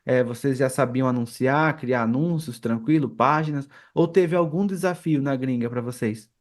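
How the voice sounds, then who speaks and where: A man's voice: mid-range voice, moderate pace. A man with a Brazilian accent, co-hosting a podcast and asking questions in a casual style. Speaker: podcast co-host.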